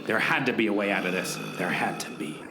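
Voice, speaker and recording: A man's voice: lowish voice, fast, very noisy, close to an everyday voice. An actor playing an earnest, melodramatic hero who narrates his own adventure in an overwrought, theatrical way in a parody radio drama. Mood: dramatic narration. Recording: roomy echo, music underneath.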